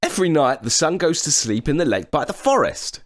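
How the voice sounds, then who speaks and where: A man voicing a brave, clever young boy in a children's story, calm and confident. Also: on the high side, brisk, pitched above the performer's own voice. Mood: sly, confident.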